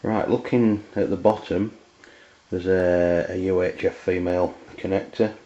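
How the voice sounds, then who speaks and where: A man with an English accent and a very low voice talks through a hands-on review. His delivery is flat and unscripted, with plain wording and frequent hesitations. The sound is dull and lo-fi, as from a handheld camera's microphone. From England. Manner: hesitant, halting, plain-spoken. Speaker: amateur radio reviewer. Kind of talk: unscripted product review demonstration.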